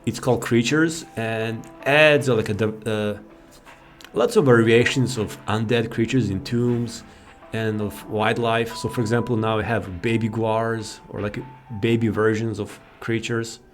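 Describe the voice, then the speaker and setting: A man's voice: on the low side, steady tone, unhurried. Casual male gamer with faint background music. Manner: rambling, informal, easily sidetracked. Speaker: gaming video host. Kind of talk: casual game mod showcase commentary. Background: faint game music.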